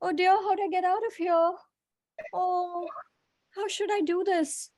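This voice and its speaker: A woman's voice: very high voice, fairly even intonation, unhurried, a little mellow, no echo. Woman doing a wide-eyed little girl. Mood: scared. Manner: breathy, exclaiming wonder, whispering at times. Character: cheerful little girl on a forest walk. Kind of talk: improvised storytelling acting.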